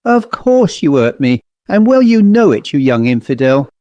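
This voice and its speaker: A man's voice: fairly high pitch, big pitch swings, moderate pace, dark tone, dry recording, highs cut off. A man voicing a grumpy, indignant, self-important owl in a story, complaining in rustic, ungrammatical speech. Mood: indignant, bitter. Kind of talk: storybook character voice.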